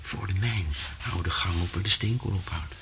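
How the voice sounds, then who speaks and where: A man's voice: low voice, normal speed, background noise. A man acting an anxious, thoughtful professor in a radio play, speaking hesitantly and often half whispering. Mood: hushed, hesitant. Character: anxious, thoughtful male Dutch professor and inventor.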